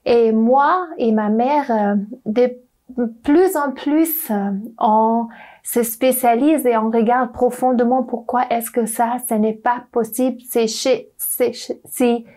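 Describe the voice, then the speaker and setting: Young non-native woman doctor, slow and hesitant, warm tone, slightly high voice. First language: not French. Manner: hesitant, searching for words, many fillers. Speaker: doctor at a clinic. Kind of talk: interview guest.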